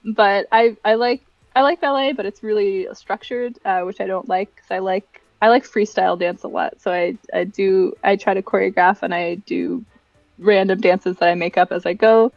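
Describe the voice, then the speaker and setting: A woman's voice: mid-range voice, fairly even intonation, moderate pace, creaky, a little mellow, no room sound, dull audio. A young adult woman chatting casually and giggly as a livestream guest, rambling through personal stories. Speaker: livestream guest, event director and dancer.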